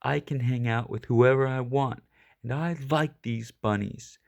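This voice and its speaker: Man putting on a big gruff growly tough dog. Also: mid-range voice, measured pace, a little mellow. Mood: defiant. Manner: growly, blustering. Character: big gruff tough male dog, secretly soft-hearted.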